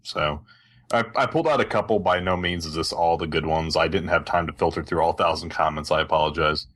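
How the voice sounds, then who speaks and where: A man with a very low voice that still sounds bright and forceful. He co-hosts a gaming podcast in a casual, conversational style. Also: fairly quick. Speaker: podcast co-host. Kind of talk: casual gaming podcast chat, some reading aloud.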